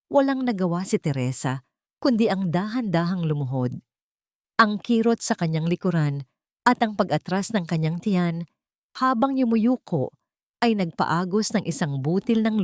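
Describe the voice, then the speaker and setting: A woman storyteller reading a scripted dramatic story with solemn, deliberate phrasing. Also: fairly low pitch, darkish tone, no room sound, studio quality.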